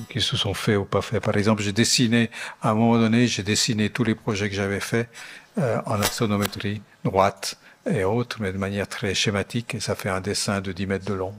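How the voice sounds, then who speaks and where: Older man, native Italian speaker, speaking haltingly, a lecturer taking questions. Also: on the low side, slight background noise. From Switzerland. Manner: hesitant, many fillers and restarts. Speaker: architect giving a lecture. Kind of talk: lecture Q&A answer.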